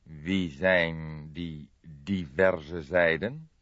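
A man voicing a calm, stubborn old police detective in an audiobook, weary and deliberate in his delivery. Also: rumbling low, no room sound, lo-fi sound, exaggerated voice. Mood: deliberate, insistent. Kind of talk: audiobook character dialogue.

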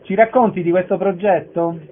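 Higher-voiced male radio host, phone-like sound. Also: steady tone, moderate pace, background noise. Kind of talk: radio interview presenting.

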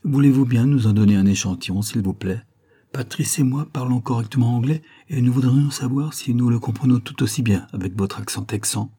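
A man's voice: mid-range voice, brisk, dark tone, slightly rough. A man voicing a tall, athletic woman who asks questions politely and with curiosity. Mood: curious.

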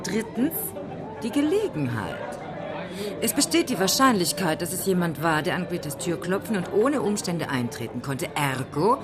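A woman's voice: lowish voice, sing-song, moderate pace, music in the background, a crowd in the background. An actress playing an opinionated, methodical female amateur sleuth in a German radio play, declamatory and pedantic. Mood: emphatic, deducing.

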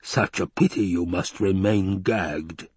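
A man voicing an obese, sinister male baron with a rumbling basso voice, slow and taunting, in an audiobook. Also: darkish tone, breathy edge, dry recording, heavily acted. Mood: gloating. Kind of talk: audiobook character voice.

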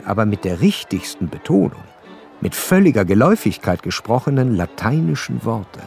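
An actor playing an educated, curious gentleman who narrates a literary radio play in a measured, articulate way. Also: mid-range voice. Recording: studio radio play over faint violin music.

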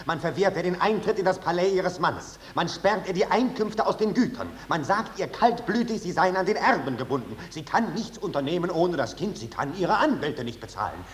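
Pompous lawyer speech, swoopy n unctuous, old german radio drama, bit of hiss. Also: male voice, a little high. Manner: oratorical, unctuous. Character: pompous, indignant, theatrical male lawyer. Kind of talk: 1950s German stage-style radio drama acting. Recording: hissy 1950s radio recording.

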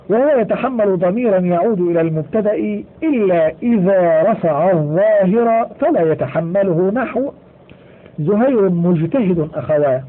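A man reading a grammar textbook aloud in a measured way, slowing down to stress each example. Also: high voice. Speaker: audiobook reader. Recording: tinny phone-like audio.

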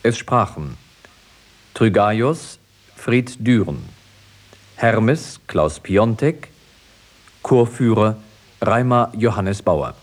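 A man reading scripted credits formally as a radio announcer. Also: on the low side.

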